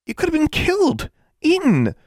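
A man voicing an anxious, gentle and doubtful schoolgirl friend in an audiobook. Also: medium pitch, very animated, moderate pace, dry recording, clean studio sound, pitched above the performer's own voice. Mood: horrified. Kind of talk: audiobook character voice.

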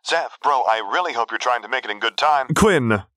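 An exasperated young man played by a male narrator, urgent and protective, barking at his friend in an audio story. His voice is raised from a deep base, with a dry, close sound. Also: fast, sharp tone, dry recording, natural voice. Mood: worried.

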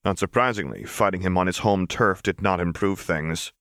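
A man reading an audiobook, narrating dramatic fiction in a measured storyteller's delivery. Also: low voice, fairly bright tone, no room sound, studio quality.

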